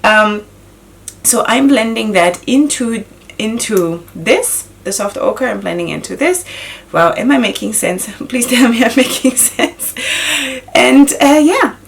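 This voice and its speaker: Woman, 30s, beauty vlogger; raspy and gravelly, hoarse from a cold, chatty tutorial delivery, close to the camera in a small room. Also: a little high, expressive, measured pace, slight background noise. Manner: chatty, self-questioning, laughs often. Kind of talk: casual makeup tutorial talk.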